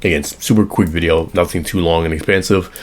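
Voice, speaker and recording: A young man with a hoarse voice, just over an illness, giving a rambling casual tutorial on automations and correcting himself as he sketches on an iPad. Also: deep voice, fairly even intonation, fast, slight background noise. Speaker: automation consultant. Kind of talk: casual screen-share tutorial.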